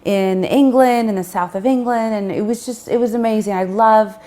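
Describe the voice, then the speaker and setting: A woman singer-songwriter from Toronto, a guest who talks in an animated, enthusiastic way. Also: on the high side, normal speed. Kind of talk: casual interview chat.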